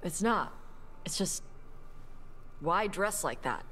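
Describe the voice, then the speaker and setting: Low-voiced, blunt young warrior. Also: female voice, animated, fairly bright tone, a little hiss or hum. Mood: defensive, awkward. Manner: blunt, halting. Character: gruff, awkward young horned warrior, blunt and defensive. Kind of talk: video game character dialogue.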